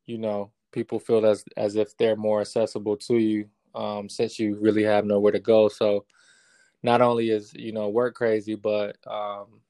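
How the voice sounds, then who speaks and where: A man in his thirties speaks low and gravelly, with a flat, even delivery. He answers interview questions casually, full of fillers and restarts. The recording is dry and close. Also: moderate pace, dry recording. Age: 30s. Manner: relaxed, many fillers and restarts. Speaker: guest, real estate investor.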